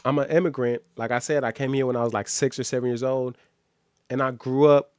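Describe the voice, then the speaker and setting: An American man talks casually as a streamer reacting to a video. His mid-pitched voice sounds close and dry, and he leans on slang, often repeating a phrase to stress it. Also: steady tone, brisk, a little mellow, smooth, no room sound. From born in Nigeria, grew up in the United States.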